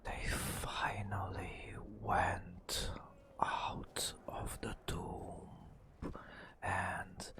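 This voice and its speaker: A man softly narrating a fantasy game adventure as ASMR, in a breathy whisper with hushed, dramatic phrasing and long pauses. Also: sits low, monotone. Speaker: ASMR gaming host. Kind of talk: soft-spoken ASMR storytelling over fantasy gameplay.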